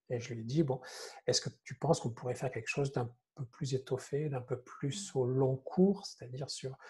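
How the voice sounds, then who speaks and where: A man, an author speaking as a guest on an informal panel, casual and halting, often repeating his words. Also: mid-range voice, warm tone, breathy edge. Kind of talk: informal live panel talk.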